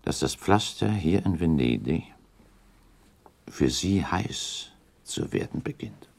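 An actor playing a shrewd, calculating count in a radio drama, speaking in a deliberate, formal and pointed way. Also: male voice, deep voice, lively intonation, creaky, darkish tone, full character voice. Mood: analytical, warning. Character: shrewd, calculating count advising a prince, diplomatic. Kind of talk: German radio drama acting.

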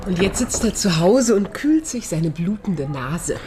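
An actress playing a brisk, snippy doctor and protective friend in a radio crime drama, dry and matter-of-fact. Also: female voice, fairly low pitch, very animated, a crowd in the background.

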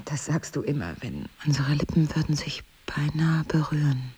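An actress playing a wry, guarded, passionate middle-aged wife in an intimate audio drama, husky and breathy, with an ironic and emotional delivery. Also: female voice, deep voice, expressive, moderate pace, background noise. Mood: seductive.

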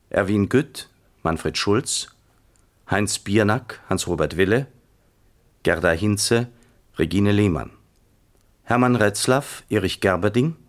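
A male announcer from 1970s radio reads out credits formally. His voice sits fairly low and he moves very slowly, with measured care.

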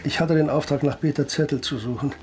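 An actor playing a laconic ex-marine veteran in a radio crime drama, talking in terse fragments. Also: male voice, a little high, fairly even intonation, fast talker, darkish tone, slight background noise, close to an everyday voice. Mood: terse, confiding. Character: laconic male ex-marine, wounded veteran turned investigator. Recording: music behind the voice.

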